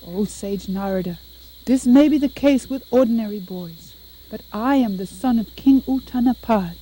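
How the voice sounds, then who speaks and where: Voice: medium pitch, moderate pace, warm tone, close to an everyday voice, low-quality recording. An actor playing a determined five-year-old boy prince in a puppet show, speaking earnestly and formally. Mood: earnest, hurt.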